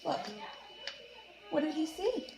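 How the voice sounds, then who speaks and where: A woman's voice: sits high, slow pace, gravelly. A woman reading a picture book aloud to her young child in a sing-song, coaxing way, asking questions as she goes. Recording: roomy, noisy home recording.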